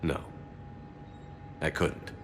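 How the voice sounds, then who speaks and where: A man playing a steadfast military commander in a video game, speaking in a measured, grave manner. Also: noisy background. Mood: calm, supportive.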